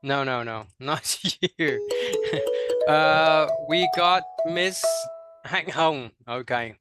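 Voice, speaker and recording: A man's voice: on the high side, extremely slow, over loud music. A young man with a Vietnamese accent leading an online teacher workshop, with many fillers and repeated words, often checking in with "right?". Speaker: teacher trainer.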